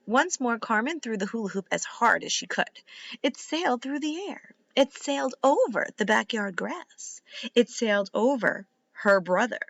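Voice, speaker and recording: A woman reading a children's book aloud like a teacher, in an expressive, deliberate and instructive way. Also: on the high side, gravelly, no room sound. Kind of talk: animated read-aloud narration for young children.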